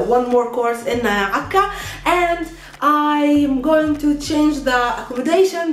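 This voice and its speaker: A woman with a Palestinian Arabic accent, an Arabic teacher casually announcing her course, chatty, with many fillers and restarts. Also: sits high. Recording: echoey room.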